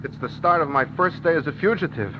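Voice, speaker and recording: A man's voice: on the high side, fast talker, natural voice. An actor playing a guilt-stricken, fretful man on the run in a 1950s radio drama, with melodramatic delivery. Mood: anguished, dazed. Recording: noisy, dull 1950s radio recording.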